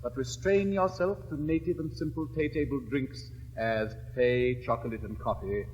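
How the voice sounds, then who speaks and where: An actor playing an elegant, witty gentleman suitor in a classic stage comedy, speaking in a crisp, measured, declamatory way. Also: medium pitch, lightly acted. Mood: wry. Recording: reverberant old recording, faint hiss.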